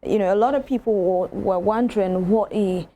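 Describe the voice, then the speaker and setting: A woman's voice: mid-range voice, normal speed, mellow, slight background noise. A woman co-hosting a morning TV talk show, giving her views with many fillers and restarts.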